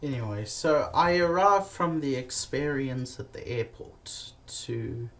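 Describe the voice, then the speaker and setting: Slow-talking man telling comic travel stories. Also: mid-range voice. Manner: animated, swearing, lots of fillers and restarts. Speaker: comedic storyteller.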